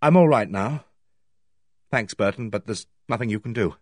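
A man's voice: fairly low pitch, a little mellow, no echo, studio quality, close to an everyday voice. A man voicing a distressed, disbelieving doctor with a strained delivery in an audiobook. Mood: dazed. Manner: strained, halting. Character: stunned, distressed doctor, brother of the accused. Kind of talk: audiobook character voice.

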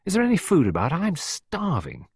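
A man's voice: a little high, normal speed, gravelly, husky, no echo, studio quality, pitched above the performer's own voice, exaggerated voice. A man voicing a twelve-year-old schoolgirl in an audiobook, blunt, cheerful and always hungry, grumbling or pleading. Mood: laconic, grumbling. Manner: laconic grumbling, pleading, excitable. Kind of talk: audiobook character voice.

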